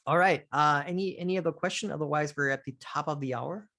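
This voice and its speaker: Halting man hosting a tech call. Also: on the high side, sharp tone. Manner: halting, many fillers and restarts. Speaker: host of community office hours. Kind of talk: casual technical Q&A.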